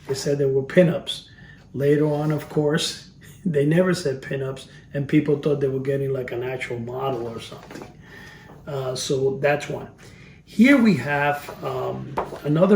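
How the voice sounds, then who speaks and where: Gravelly Cuban-born man in an echoey room. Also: a little high, darkish tone, far from the mic, slight background noise. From Cuba. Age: 50s. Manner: rambling, restarts phrases, chuckles at his memories. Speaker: collector reviewing vintage items. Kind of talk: casual rambling review. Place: cluttered storage room.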